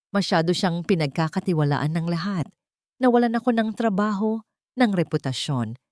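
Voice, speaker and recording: A man's voice: fairly high pitch, a little mellow, dry recording, studio quality, lightly acted. A woman voicing an old, betrayed but loyal fisherman in a Tagalog story, speaking with earnest grief. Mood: sorrowful.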